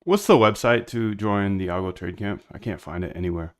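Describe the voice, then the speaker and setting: A man's voice: lowish voice, brisk. A man talking casually and informally, thinking aloud as a developer while he works. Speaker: developer hosting a coding video. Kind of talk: casual talk while working and testing.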